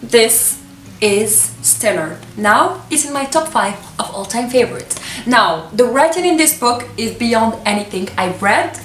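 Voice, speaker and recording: A woman in her twenties, a native Arabic speaker, casually recommending books to camera in an expressive, gushing, emphatic manner. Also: on the high side, a little slow. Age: 20s. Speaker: book reviewer and vlogger. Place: small furnished room with books, indoors. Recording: echoey room, distant camera microphone.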